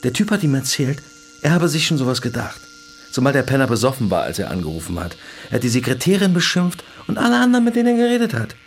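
A man's voice: medium pitch, big pitch swings, fast talker, a little hiss or hum. An actor playing a hot-tempered, defensive husband and father in a naturalistic radio drama, emphatic and indignant. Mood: indignant, dismissive. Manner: emphatic, indignant, repeats himself.

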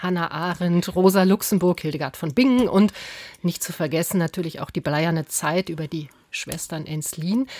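Slightly husky woman hosting culture radio. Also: lowish voice, a little mellow, no echo. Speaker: radio interviewer and host. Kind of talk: prepared, formal cultural radio interview. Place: radio studio. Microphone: close studio microphone.

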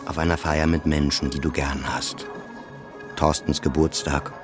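A man's voice: extremely low pitch, flat delivery, measured pace, music in the background. A man delivering scripted, introspective first-person literary narration as in a radio play, in short clipped phrases.

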